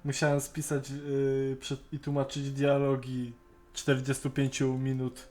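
A man's voice: medium pitch, completely flat delivery, a little slow, darkish tone. A man chatting casually on an online call, often stammering and repeating the starts of words. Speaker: co-host on a Skype call. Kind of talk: casual chat reviewing an animated series.

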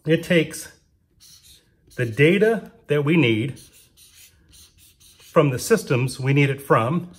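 A man giving a plain, steady whiteboard-style explanation while drawing on sticky notes at a desk. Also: mid-range voice.

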